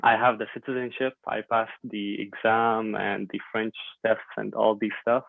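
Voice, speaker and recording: Syrian man on a tinny line, flat-voiced. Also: fairly low pitch, gravelly, dry recording. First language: Arabic. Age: 20s. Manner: thoughtful, halting, many fillers and repeats. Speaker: guest. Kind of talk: podcast interview. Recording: dry tinny phone-like line.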